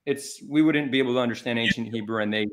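A man in his thirties moderates an online debate in a mid-pitched voice. He talks hesitantly, stopping and restarting, and keeps a conciliatory tone, heard over a webcam call from home. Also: fast talker. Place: home room. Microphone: webcam video call.

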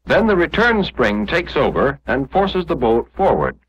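American guy narrating an old 40s army training film, lively up and down pitch, dull muffled sound, explains stuff step by step. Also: unhurried, breathy edge. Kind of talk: 1940s instructional film narration, scripted.

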